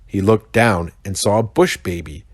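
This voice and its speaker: An American man, a pastor, reading a children's story aloud with expressive narration. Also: fairly low pitch, normal speed, no echo, studio quality.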